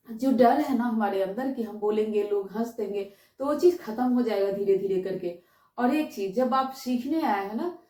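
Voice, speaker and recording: High-voiced Indian woman teaching, echoey room. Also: mellow. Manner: repeats key phrases and checks in with rhetorical questions. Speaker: English teacher giving a class. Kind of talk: spoken lesson, explaining to students. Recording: roomy echo, distant microphone.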